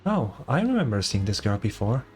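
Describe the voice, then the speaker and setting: A man voicing a helpful female fast-food stall worker in a video game. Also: on the low side, sing-song, slight background noise. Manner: polite, customer-service helpful. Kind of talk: reading game dialogue aloud.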